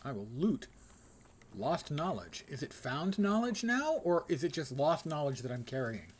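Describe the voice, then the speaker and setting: A man's voice: fairly high pitch, sing-song, normal speed, a little hiss or hum. A man doing casual live commentary on a video game, musing in a dry, wry, pedantic way. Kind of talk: casual solo gameplay commentary.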